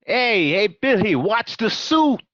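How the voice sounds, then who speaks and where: A man's voice: high-pitched, big pitch swings, slow, a bit bright, exaggerated voice. An actor playing a touchy young New mobster, a man with a prickly, defensive manner, in a radio drama. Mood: irritated. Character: touchy, sharply dressed young male mobster. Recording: dull lo-fi home recording.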